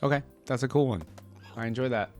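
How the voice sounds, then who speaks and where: A man's voice: mid-range voice, quick pace. A young man giving casual running commentary as a reaction host, thinking aloud and breaking off into exclamations. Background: music and game audio.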